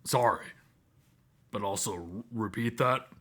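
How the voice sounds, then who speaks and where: A man playing a calm, blunt anthro student medic in a visual novel, speaking in a measured, clinical way. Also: on the low side. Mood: taken aback.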